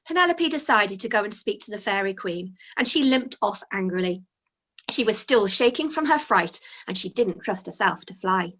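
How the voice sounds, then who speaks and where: A woman reading her own children's story aloud as its author, in an expressive storytelling style. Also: mid-range voice, normal speed.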